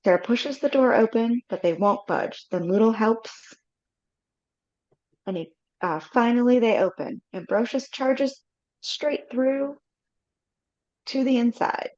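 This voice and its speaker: A woman's voice: fairly high pitch, slow, long pauses, darkish tone. A woman narrating a live read-through of a film script over a video call, reading steadily with small slips. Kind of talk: reading stage directions aloud. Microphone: computer mic on a video call. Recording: slightly dull video call audio.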